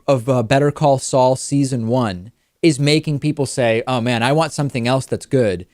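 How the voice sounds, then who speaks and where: A man presents a talk show in a conversational, emphatic style. His voice sits at a middle pitch and the studio sound is very dry. He leans on important phrases as he addresses listeners. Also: a little mellow, no room sound.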